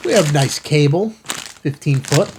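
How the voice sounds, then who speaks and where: A man's voice: a little high, lively intonation, a little slow, noisy background. A man hosting a casual unboxing video, talking to viewers in an enthusiastic, folksy way with self-kidding asides. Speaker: host of a ham radio channel. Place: studio.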